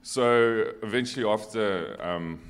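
A man's voice: on the low side, measured pace, clean tone. A middle-aged man with a South African accent, a native Afrikaans speaker, telling personal stories casually on a panel into a handheld microphone. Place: on stage in a church hall.

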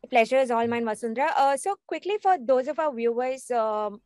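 A woman's voice: on the high side, a little fast, a little mellow, dry recording. A young woman, interviewing a guest over a video call from a small room at home, hesitant with many fillers and restarts. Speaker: radio interviewer.

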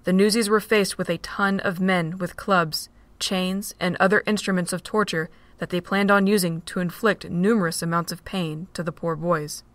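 A woman narrating an amateur audio drama in a steady, plain reading. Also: lowish voice, normal speed, few pauses, no room sound. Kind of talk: storybook narration.

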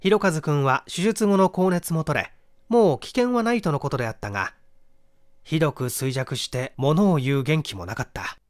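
A man giving a measured, expressive reading of a literary detective story as narrator. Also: fairly high pitch. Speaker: audiobook reader, stage actor.

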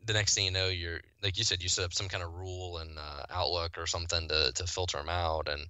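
A man's voice: deep voice, fairly even intonation, fast talker, sharp tone, clean tone, dry recording. A man from the US Midwest, a guest on a podcast, explaining his product casually and with enthusiasm. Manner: fluent, enthusiastic explaining with restarts. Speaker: guest, software company founder.

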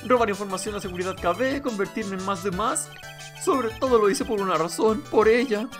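A man's voice: high voice, brisk, over music, natural voice. A man voicing a timid, despondent young husband in a game, hesitant and stammering. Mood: dejected.

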